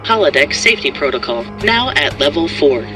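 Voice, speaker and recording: Man putting on an impassive starship computer, high-pitched. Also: very animated, brisk, distant mic, over music. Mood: impassive, formal. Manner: formal, clipped announcements. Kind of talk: sci-fi tabletop game computer.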